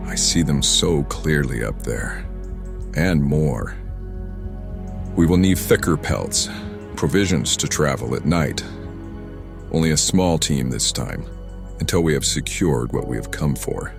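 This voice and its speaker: Very deep, gravelly brooding lord. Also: male voice, expressive, measured pace, long pauses, music in the background, heavily acted. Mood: brooding, resolute. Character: brooding, obsessed medieval lord commanding a hunt. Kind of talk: audio drama acting.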